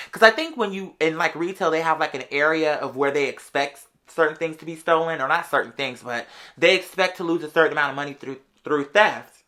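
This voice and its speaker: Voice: medium pitch, sharp tone. A person in their late twenties with an American accent, telling gossipy stories in a casual, sassy, slang-heavy way. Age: late 20s. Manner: conversational and sassy, heavy slang, often interjecting "girl".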